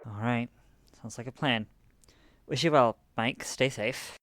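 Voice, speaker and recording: An actor plays an uneasy male friend in an audio drama. His mid-pitched voice is hushed and cautious, as a loyal confidant. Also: a little slow, close to an everyday voice.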